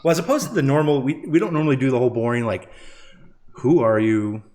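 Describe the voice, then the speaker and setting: A man's voice: medium pitch, fast talker, a little mellow, a little hiss or hum. A man co-hosting a podcast, friendly and laid-back, with many fillers. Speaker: podcast co-host. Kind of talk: casual podcast chat.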